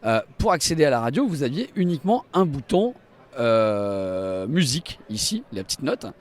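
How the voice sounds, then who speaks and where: A man's voice: mid-range voice, very animated, normal speed. A man giving an enthusiastic, explanatory live product demonstration as a company representative at a trade show. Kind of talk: live walk-through product demonstration to camera. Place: trade show stand and inside a car.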